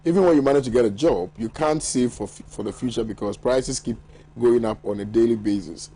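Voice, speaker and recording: Husky Ghanaian man hosting a show. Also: medium pitch, brisk. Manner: lively, laughs often, banters with panel. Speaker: morning show host. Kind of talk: newspaper review, reading viewer messages. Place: TV studio.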